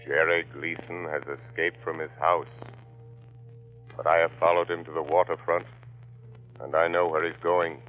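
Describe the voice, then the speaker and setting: An actor playing a mysterious invisible crime-fighter, calm and commanding, in 1940s radio style. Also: male voice, extremely low pitch. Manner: slow, commanding, taunting. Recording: tinny, band-limited old radio recording.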